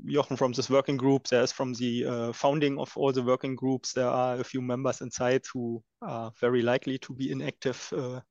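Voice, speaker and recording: A man's voice: medium pitch, level tone, normal speed, vocal fry, hardly any pauses, a little mellow, no echo. A man taking part in a casual work discussion on an online call, explaining things conversationally. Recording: dry, dull call audio.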